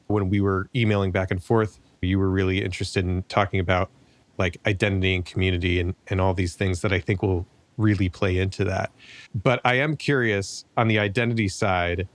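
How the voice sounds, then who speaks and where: A man hosting a podcast interview, speaking in a relaxed, conversational way from the United States, close to the mic. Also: sits low, fairly quick, no echo. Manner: relaxed, conversational, a few restarts. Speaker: podcast host. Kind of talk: casual interview.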